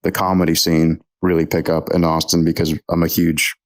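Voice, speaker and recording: Low, flat-voiced young man. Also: a little fast. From Texas. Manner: hesitant, many ums and restarts. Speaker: podcast guest. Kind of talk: casual interview chat.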